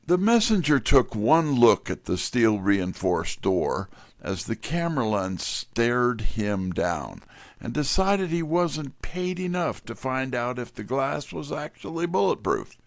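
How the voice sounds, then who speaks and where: An actor playing a wry detective's assistant, narrating a scripted mystery in the first person with measured, dry delivery. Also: male voice, medium pitch, very animated, gravelly, no echo, exaggerated voice. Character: wry male detective's assistant telling the story.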